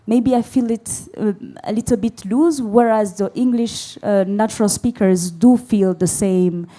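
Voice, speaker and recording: A woman playwright from Burundi, answering a festival question slowly, searching for words with many pauses. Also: mid-range voice, dark tone. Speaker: playwright on a panel. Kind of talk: hesitant, thoughtful panel answer. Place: live festival Q&A.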